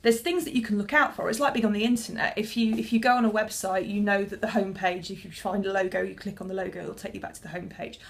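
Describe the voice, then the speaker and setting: A woman's voice: mid-range voice, fairly even intonation, quick pace, vocal fry, hardly any pauses, slight background noise. A young woman, a librarian talking casually to camera in a chatty, self-deprecating way with frequent restarts.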